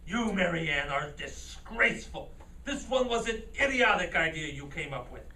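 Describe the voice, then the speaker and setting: A man's voice: fairly high pitch, very animated, moderate pace, sharp tone, heavily acted. A man playing a blustering, scornful reality-show boss in an amateur staged reading, berating people theatrically in an echoey room. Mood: furious. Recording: echoey room, far from the mic.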